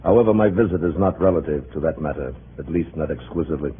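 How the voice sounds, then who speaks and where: A very deep-voiced, big and domineering communist boss. He is cold and curt, giving orders as in a 1950s radio drama, on a narrow old recording with faint noise. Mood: stern, insistent. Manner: cold, curt, commanding, deliberate.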